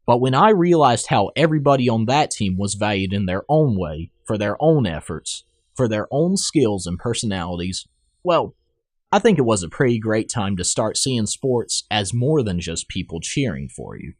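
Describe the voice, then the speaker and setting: A man's voice: fairly low pitch, very animated, moderate pace, no room sound, studio quality. A young man reading a scripted personal essay aloud in an earnest, rehearsed storytelling manner.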